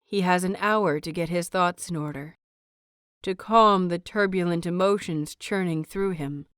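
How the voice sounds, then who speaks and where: Woman narrator for audio fiction: smooth, slow-paced, deliberate, with dramatic pauses. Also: on the low side, a bit bright, dry recording, clean studio sound. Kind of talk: scripted dramatic narration of a story.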